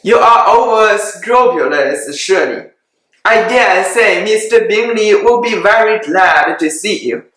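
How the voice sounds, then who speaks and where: A reader drops their voice low to play a dry, sarcastic older father in a storybook reading, teasing and unhurried. The voice stays bright, and the room echoes heavily.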